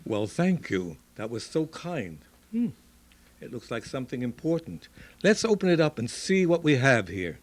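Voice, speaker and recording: A man's voice: mid-range voice, sing-song, normal speed, a little hiss or hum, lightly acted. A man playing an earnest, devoted scientist and caring son in an old-time radio drama. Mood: pleased. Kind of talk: Golden Age radio drama acting.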